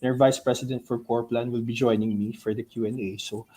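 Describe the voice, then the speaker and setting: A man with a Filipino accent giving a business presentation to investors in a halting way, often tagging phrases with "no?". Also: mid-range voice, level tone, warm tone, slight background noise. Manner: halting, ends phrases with a tag "no?". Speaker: company investor relations presenter. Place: virtual call.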